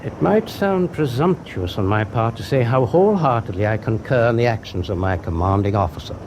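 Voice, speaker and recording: Man acting a wry naval officer, lively pitch. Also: fairly quick, noisy background, close to an everyday voice. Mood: dryly deferential. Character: wry, loyal male naval first officer. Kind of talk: wartime naval radio drama acting.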